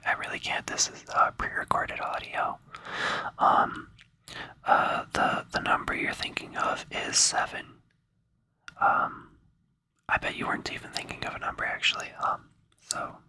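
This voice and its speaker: A person rambling in a breathy whisper close to the microphone for ASMR, sounding sleepy and hesitant, with a playful, soothing way of talking. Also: pushed and bright. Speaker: ASMR creator.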